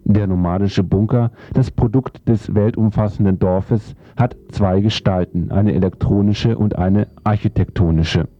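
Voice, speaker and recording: A man's voice: fairly low pitch, fairly even intonation, unhurried, hardly any pauses, soft background music. A man narrating a scripted theoretical text in a formal, declarative manner. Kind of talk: scripted reading of a theoretical essay. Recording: dull lo-fi sound.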